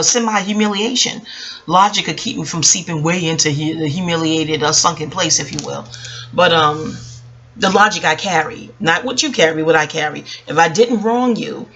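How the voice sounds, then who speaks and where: A woman speaking casually and reflectively about her own experience, rambling with emphatic pauses and frequent self-corrections. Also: lowish voice, fairly bright tone, poor audio. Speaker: vlogger. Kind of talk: casual reflective personal storytelling.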